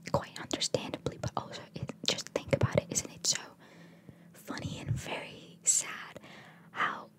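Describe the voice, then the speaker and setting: A woman speaks entirely in a soft, breathy whisper in the manner of an ASMR host. She reads out short bits of trivia and drops in casual, opinionated asides. Her delivery stays chatty and playful while fully unvoiced.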